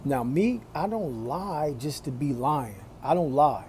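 A man in his forties talking to his phone camera in a small room, slow and deliberate, with a preachy tone. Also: on the high side, expressive, darkish tone, a little hiss or hum. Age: 40s. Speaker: vlogger. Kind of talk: casual talk to camera.

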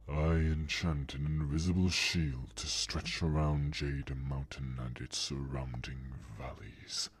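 A man playing a weary old male dragon in an audio drama, with a gravelly, breathy, solemn and halting delivery. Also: a bass voice, level tone, no room sound, heavily acted. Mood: solemn incantation. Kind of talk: audio drama character acting.

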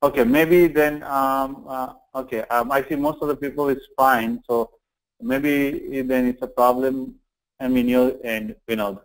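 A man's voice: mid-range voice, fairly even intonation, a little slow, poor audio. A man teaching an online class informally, explaining things step by step with repetition and check-in questions. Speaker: online course instructor.

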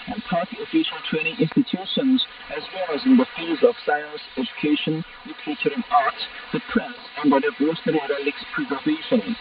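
A man with a fairly high voice reads the news formally from a script. The shortwave radio signal makes him sound far off, with clear buzzing static over it. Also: highs cut off. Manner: formal delivery. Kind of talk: scripted news bulletin reading. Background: shortwave static and buzz.

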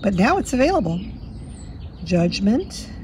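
A woman with a slightly hoarse voice from a cold, chatting fondly through a walkthrough of a card deck at her table. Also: mid-range voice, very animated, a little slow, a little mellow, a little hiss or hum. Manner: fond, chatty, admiring. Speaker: tarot deck reviewer. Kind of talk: casual walkthrough. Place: indoors at a table.

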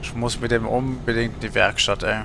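A man's voice: medium pitch, fairly even intonation, brisk, creaky, music in the background. A middle-aged man chatting casually and musingly on a gaming livestream from home, in colloquial speech. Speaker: game livestreamer. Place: indoor home streaming setup.

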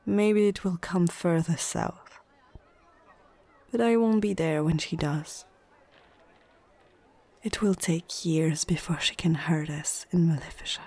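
Woman playing a slow, breathy orc chieftain. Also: lowish voice, warm tone, exaggerated voice. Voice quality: breathy, husky whisper. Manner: slow, deliberate, short measured phrases. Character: stern female orc chieftain, proud, menacing, weary. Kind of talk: whispered ASMR fantasy roleplay.

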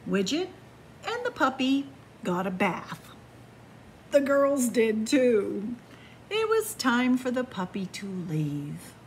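A woman reads a picture book aloud in a library, her fairly high voice swinging widely between hushed whispers and bright exclamations. She takes her time with each line. A faint hum of room noise sits behind her. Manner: slow, expressive storytelling with hushed whispers and excited rises. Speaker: children's librarian reading aloud. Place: library in front of bookshelves. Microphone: camera facing the book.

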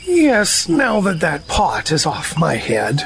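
A woman voicing a simple, good-natured male teddy bear in an audio drama, speaking in a mild, slow-witted way. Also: sits low, huge pitch swings, soft background music, exaggerated voice.

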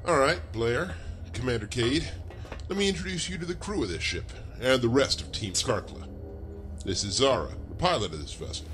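A commanding rebel captain, played by a man, businesslike but amiable, in a science fiction audio drama. His medium-pitched voice moves expressively, with music underneath. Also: animated, normal speed, fairly bright tone, natural voice. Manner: authoritative, businesslike. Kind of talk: sci-fi audio drama acting.

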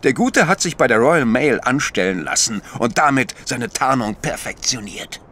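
An actor playing an astute, patient priest detective in a German audio drama, explaining deliberately with gentle chiding. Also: male voice, medium pitch, expressive.

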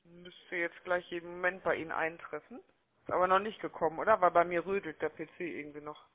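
A man with a notably high voice talks as a call-centre agent on a phone sales call. He is chummy and wordy, tacking small questions onto his sentences. The sound is thin and narrow, like a telephone line. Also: steady tone, fast. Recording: muffled, narrow-band phone-call audio.

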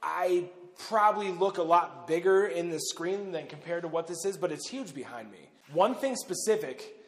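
Man talking in a booming underground silo. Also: lively intonation, moderate pace. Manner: conversational, loose, with frequent "uh" and "pretty much". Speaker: bunker owner and host. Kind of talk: casual talk to camera. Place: large underground concrete silo tunnel. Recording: long reverb of an underground silo.

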